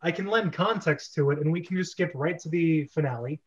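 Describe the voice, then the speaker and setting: Guy hosting a script club, chatty and casual, a bit high, muffled recording. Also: fairly quick. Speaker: host of script reading club. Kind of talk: casual group chat. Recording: dull, lo-fi online recording.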